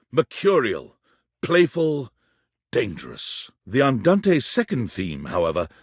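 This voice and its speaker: A man's voice: mid-range voice, husky, no room sound, studio quality. A man playing an erudite, theatrical narrator in a whimsical game, delivering florid scripted lines with precise wording.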